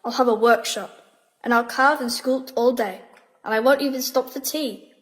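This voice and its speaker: A woman's voice: fairly high pitch, steady tone, normal speed, natural voice. An actress playing a plucky, determined schoolgirl in an audio drama, speaking in a matter-of-fact, decisive way. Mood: dreamy, determined. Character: plucky, determined schoolgirl who dreams of sculpting.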